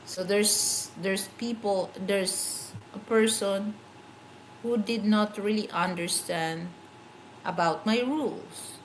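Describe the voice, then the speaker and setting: A woman's voice: mid-range voice, slow, slight background noise, poor audio. A middle-aged woman with a Filipino accent, whose first language is a Philippine language, hosting her own channel in a casual, rambling livestream chat and searching for words as she goes. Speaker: channel host.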